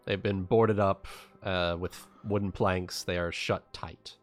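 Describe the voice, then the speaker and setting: A man casually narrating as game master of a tabletop roleplaying game, thinking aloud in a halting way. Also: sits low, steady tone, soft background music.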